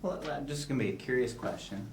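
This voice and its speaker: A man conducting a conversational interview, asking questions in a halting, rephrasing way. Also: mid-range voice. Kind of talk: conversational oral-history interviewing. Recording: echoey room, distant mic, background noise.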